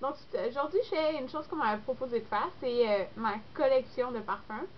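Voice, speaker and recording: A woman's voice: high-pitched, brisk. Stuffy-nosed young Québécois woman chatting. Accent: Québécois French. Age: young adult. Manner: chatty, rambling, lots of fillers. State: congested with a cold. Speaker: beauty vlogger. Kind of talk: casual chat to camera. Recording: dull lo-fi recording with faint noise.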